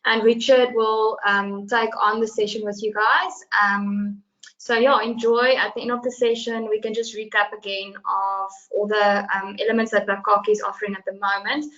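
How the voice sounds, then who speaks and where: A woman introducing a webinar session, halting with many fillers. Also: medium pitch, little pitch movement, a bit bright. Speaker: session organizer. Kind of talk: brief introduction. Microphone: video call. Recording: muffled video call audio.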